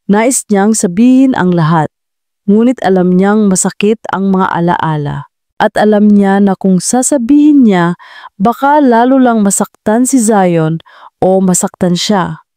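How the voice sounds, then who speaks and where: A woman narrates a romantic audio drama in a mellow voice. The sound is dry, with very little room around it, and the delivery is scripted and dramatic. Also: medium pitch, lively intonation, a little slow, smooth, no echo. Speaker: narrator of audio love story.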